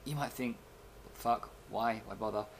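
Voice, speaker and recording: A man's voice: fairly low pitch, unhurried. Young man, noisy webcam recording. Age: young adult. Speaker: video blogger. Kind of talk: casual rambling vlog chat. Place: small bedroom, indoors. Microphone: webcam at desk, arm's length.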